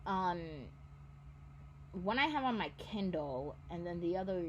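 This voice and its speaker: A woman's voice: lowish voice, lively intonation, unhurried, muffled recording, slight background noise. A woman in her twenties chatting casually about books she wants to reread, rambling and often correcting herself. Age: 20s. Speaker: book vlogger. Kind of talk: casual chat.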